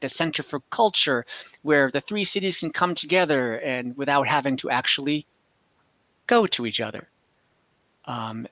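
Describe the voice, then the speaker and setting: A man narrating a tabletop fantasy game as game master over a video call, in a halting, improvised way. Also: a little high, bright, forceful tone, dry recording. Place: indoors at home, on a video call. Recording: slightly dull online call audio.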